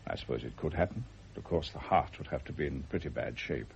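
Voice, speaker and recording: An actor playing a calm, probing doctor in a British radio drama, formal and deliberate in manner. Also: male voice, a bass voice, gravelly, full character voice. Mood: grave, measured. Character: calm, probing male doctor with measured authority. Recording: dull, noisy old radio drama recording.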